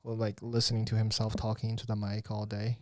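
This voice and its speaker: A man's voice: fairly low pitch, monotone, fast talker, darkish tone, dry recording. Young guy hosting a podcast, rambly and casual, dry close sound. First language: Chinese. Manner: loose, rambling, swears casually. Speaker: podcast host. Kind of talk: casual chat.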